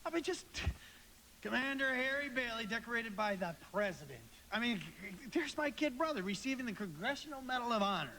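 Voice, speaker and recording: A man's voice: very high-pitched, expressive, brisk, pushed and bright, slight background noise, exaggerated voice, rough recording. An actor playing a frantic, unraveling small-town family man in a live radio play, stammering and repeating himself. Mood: excited, friendly.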